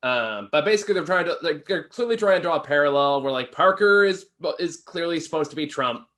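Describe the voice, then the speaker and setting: A man's voice: fairly high pitch, expressive, brisk, pushed and bright. Man casually talking through a film review.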